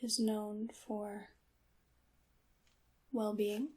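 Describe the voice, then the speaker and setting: A woman performing a soft, breathy ASMR roleplay as a calm witch apothecary, often whispering and speaking gently and deliberately to the listener. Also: medium pitch.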